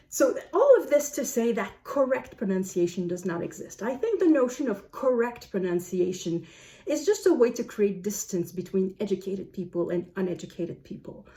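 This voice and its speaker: Mellow-voiced French Canadian woman musing. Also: medium pitch, expressive. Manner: thoughtful, unhurried, musing aloud. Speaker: book vlogger. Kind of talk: casual talk to camera.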